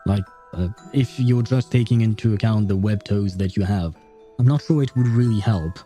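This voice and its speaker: A man's voice: on the low side, normal speed, dark tone. A man reading a game story aloud and adding casual, sardonic commentary as a streamer. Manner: sardonic asides. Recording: background music behind voice.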